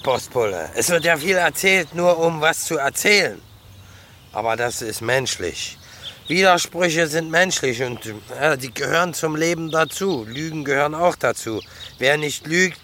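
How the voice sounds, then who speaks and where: Tipsy cynical neighbour, high voice, sharp edge, blunt grumbler, radio play, outdoor noise. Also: male voice, expressive, normal speed. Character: tipsy, cynical, gruffly philosophising male neighbour. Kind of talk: radio drama acting. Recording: clear background noise, outdoor ambience.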